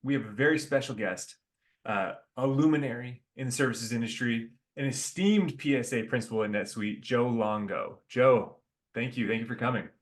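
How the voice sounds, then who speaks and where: A male podcast host with an average pitch opens the show with upbeat, partly scripted introductions. He then moves into relaxed questions for his guests. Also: moderate pace. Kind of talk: podcast hosting.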